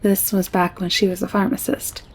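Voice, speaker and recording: A woman acting a friendly, candid alien woman in an audio roleplay drama, chatting in a caring, gently teasing way. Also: medium pitch, little pitch movement, normal speed, husky. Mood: reflective.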